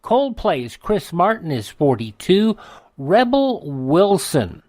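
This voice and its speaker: A man with an American accent hosting a radio show, reading an on-this-day almanac with chatty asides and drawn-out names. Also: sits high, big pitch swings, darkish tone, no room sound, clean studio sound. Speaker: radio host. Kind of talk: scripted radio almanac narration. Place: radio studio.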